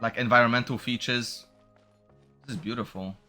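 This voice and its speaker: A man's voice: mid-range voice, fairly even intonation, moderate pace, pushed and bright, soft background music. A man giving casual, unscripted commentary as he plays a video game, musing and thinking aloud. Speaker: streamer playing a video game.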